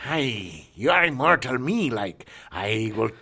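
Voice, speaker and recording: A man voicing a clumsy mock Scottish immortal mentor, speaking in broken, halting phrases. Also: medium pitch, very animated, sharp tone, slightly rough, full character voice. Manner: broken halting speech, exaggerated.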